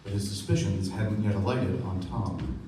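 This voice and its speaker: A man playing a reflective storyteller, giving measured literary narration in a radio play. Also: on the low side, dark tone, close to an everyday voice. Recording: echoey stage from far off, clear noise.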